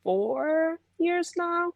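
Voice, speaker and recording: A woman's voice: very high voice, lively intonation, very slow, vocal fry, no echo, lo-fi sound. A young woman from the Bahamas, explaining things conversationally as a podcast interview guest. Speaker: guest, history PhD student and content creator.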